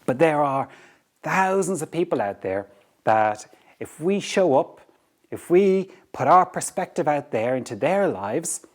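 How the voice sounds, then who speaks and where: A man's voice: a little high, sing-song, unhurried. A man with an Irish accent hosting a motivational talk on leadership, earnest and repetitive, often checking in with "okay?". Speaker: podcast host.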